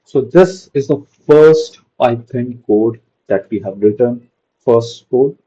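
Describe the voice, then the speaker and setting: A man's voice: mid-range voice, slow, mellow, husky, lo-fi sound. A young man giving a casual screen-share coding lesson, padding his talk with "okay" and "so". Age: young adult. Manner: loose, repeats "okay" and "so" a lot. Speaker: programming tutor.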